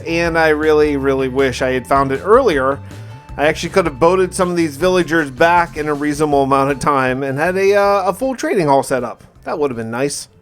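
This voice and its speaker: Male gamer-narrator, slightly high voice, diary-style survival recap, casual yet dramatic storytelling with dry asides.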